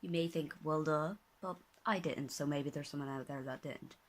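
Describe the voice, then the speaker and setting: A tired, slightly hoarse young woman talking casually to her phone camera at home, rambling, correcting herself and sometimes dropping to a whisper. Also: sits low, moderate pace. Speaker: vlogger, master's student. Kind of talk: casual vlog talk to camera. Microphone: handheld phone at arm's length.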